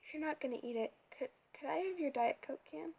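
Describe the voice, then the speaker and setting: Lady doing a jittery lil satyr guy, stammery, high and raspy. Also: fairly quick, lo-fi sound. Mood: timid. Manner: timid, stammering. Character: timid, nervous young male satyr.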